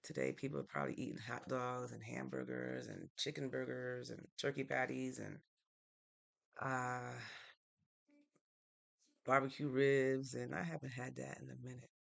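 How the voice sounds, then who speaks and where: A woman from Connecticut hosts a casual live stream and talks through viewer comments in a relaxed, meandering way. Her voice carries a strong, gravelly vocal fry throughout. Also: very deep voice, moderate pace, pauses often. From Norwalk, Connecticut, United States. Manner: easygoing and chatty, thinks aloud with fillers.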